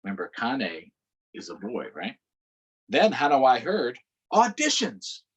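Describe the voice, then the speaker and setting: A man reading a picture book aloud to children in an unhurried way, pausing for short explanatory asides. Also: mid-range voice, huge pitch swings, slightly rough, dull audio. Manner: unhurried, adds explanatory asides to young listeners. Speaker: storytime reader.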